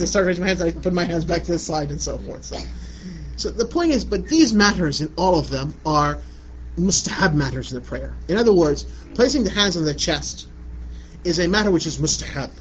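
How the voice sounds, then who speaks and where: Middle-aged man from Iraq, conversational lecturer, slightly high voice, dull lo-fi sound. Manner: conversational, repeats "you know what I'm saying". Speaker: Islamic lecturer. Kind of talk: religious lecture answering a question. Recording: muffled lo-fi recording with light background noise.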